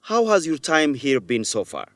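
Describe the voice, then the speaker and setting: A man acting as a reticent young Ethiopian student in a radio drama. He is modest, with a mid-range voice and lively inflection in a dry studio sound. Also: medium pitch, moderate pace, no echo, faint music behind, close to an everyday voice. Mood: tired, friendly.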